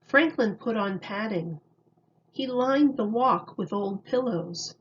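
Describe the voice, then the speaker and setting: A woman reading a children's story aloud like a teacher, in a deliberate, expressive way. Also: medium pitch, lo-fi sound, slight background noise.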